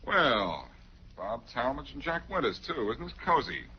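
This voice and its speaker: An actor playing a breezy, wisecracking amateur sleuth in 1940s radio detective style, flippant and teasing. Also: male voice, medium pitch, sing-song, measured pace. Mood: coolly probing. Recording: muffled, hissy 1940s radio recording.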